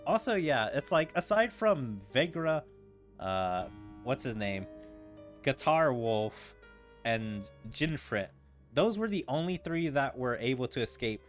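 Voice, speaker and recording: A man streams a game and comments casually as he goes. He rambles and thinks out loud at an ordinary middle pitch, with game music playing behind him. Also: very animated, slow pace. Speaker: gaming streamer. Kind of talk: casual game commentary, reading dialogue aloud.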